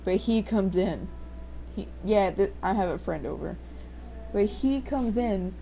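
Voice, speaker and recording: A young woman with a fairly deep voice for a woman, chatting casually and joking while streaming a game, swearing now and then. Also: measured pace, vocal fry, dark tone, a little hiss or hum. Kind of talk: casual gameplay chat.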